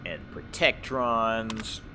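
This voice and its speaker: A man's voice: on the low side, extremely slow, background noise. A man giving casual, explanatory commentary as he plays a game, rambling a little as he thinks aloud. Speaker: gamer, let's play host.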